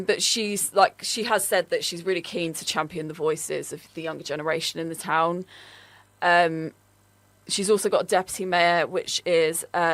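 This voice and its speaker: Female radio presenter, lower pitch, conversational with lots of fillers, dry studio sound. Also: fairly bright tone, no room sound. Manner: chatty, many ums and likes. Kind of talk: casual radio chat.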